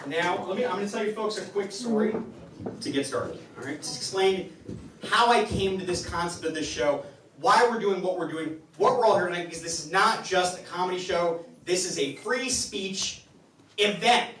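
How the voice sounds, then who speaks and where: A man hosting a live comedy show, telling stories in a halting, rambling way with stammered restarts. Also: high voice. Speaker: host of a comedy town hall show. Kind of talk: stand-up comedy monologue to a live audience. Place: indoor live comedy venue with audience. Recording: roomy, distant, noisy live venue recording.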